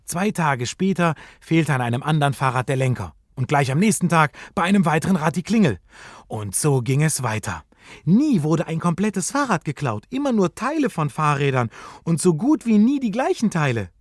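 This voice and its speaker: A man's voice: fairly high pitch, sing-song, normal speed, no echo, studio quality. A man narrating a children's detective radio play in a suspenseful, dramatic storytelling manner.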